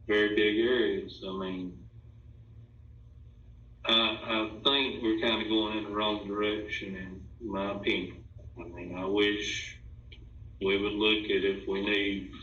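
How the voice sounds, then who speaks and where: A man making plain-spoken, questioning and halting remarks off the cuff at a board meeting. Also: lowish voice, fairly bright tone. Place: indoor meeting room. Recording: roomy, distant, dull sound, faint noise.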